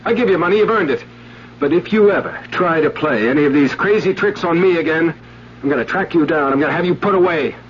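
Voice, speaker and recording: A man's voice: on the high side, fast talker, lightly acted. An actor playing an indignant man in a 1970s radio drama, with a flustered, emphatic, stammering delivery. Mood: angry. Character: indignant American actor rebuffing an infatuated woman. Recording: muffled, faintly noisy vintage radio broadcast.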